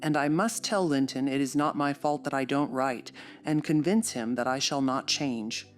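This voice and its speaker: A woman voicing a willful young lady who speaks softly and earnestly in an audiobook novel. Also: a bass voice, moderate pace, soft background music. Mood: earnest, resolute.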